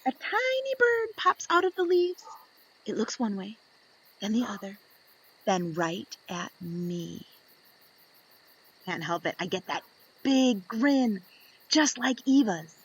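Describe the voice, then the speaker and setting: A woman reading aloud to young children in an expressive storytelling style, deliberate and fond of dramatic pauses. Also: a little high, slightly rough, rough recording.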